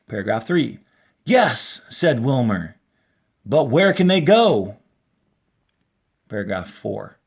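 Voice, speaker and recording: A man, a fourth grade teacher, reading a story aloud to a class in a deliberate, instructional manner. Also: medium pitch. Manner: deliberate, instructional, clearly announcing paragraphs and quotes. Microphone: handheld camera held while reading.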